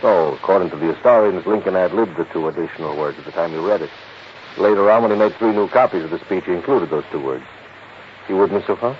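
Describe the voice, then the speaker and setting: An American actor playing a fussy, worried, middle-aged insurance manager in a 1950s radio drama, explaining things emphatically. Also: male voice, low voice, quick pace, close to an everyday voice. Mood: insistent, explaining. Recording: muffled, hissy 1950s radio recording.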